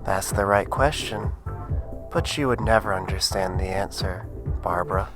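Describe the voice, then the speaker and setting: Deep voice, man acting a quietly menacing villain, measured, condescending, radio drama, music behind. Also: lightly acted. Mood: cold. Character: cold, controlling villainous brother, quietly menacing.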